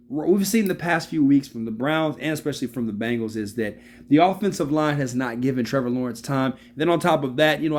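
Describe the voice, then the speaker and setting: A man in his early thirties giving casual, slangy football analysis, repeating his points for emphasis and calling out to viewers. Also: medium pitch. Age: 30s. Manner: animated, repeats phrases for emphasis. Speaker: sports video host.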